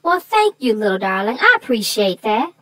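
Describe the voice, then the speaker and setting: A woman voicing a sassy, folksy female sidekick who chats theatrically about a children's story with drawn-out exclamations. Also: mid-range voice, sing-song, a bit bright, dry recording. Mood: pleased, gracious. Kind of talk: bantering discussion of a children's story.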